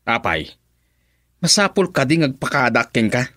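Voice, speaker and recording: A man's voice: mid-range voice, sing-song, moderate pace, pushed and bright, no echo, clean studio sound. A man acting a cruel, jealous young lover in a radio drama, taunting and domineering, with an Ilocano Filipino accent. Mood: angry scorn.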